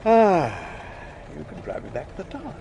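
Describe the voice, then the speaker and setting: An actor playing a hearty, imperious older army general in a radio drama, exclaiming over car sounds. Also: male voice, a little high, huge pitch swings, moderate pace. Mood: hearty relief. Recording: noisy scene with car sounds.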